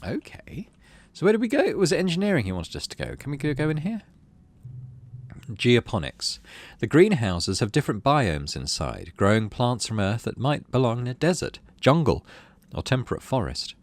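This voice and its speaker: Expressive male gamer narrating, very animated pitch. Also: moderate pace. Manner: expressive storybook-like reading with casual asides. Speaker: gaming video host. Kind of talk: let's play narration, reading game text aloud. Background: music.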